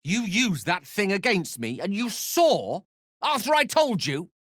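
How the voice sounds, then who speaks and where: A man's voice: sits high, wildly swooping pitch, a little slow, a bit bright, dry recording, clean studio sound, full character voice. An actor voicing a learned, witty, self-assured male wizard in a video game, with a wry, theatrical and bookish way of speaking. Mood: pained, earnest. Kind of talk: video game character voice acting.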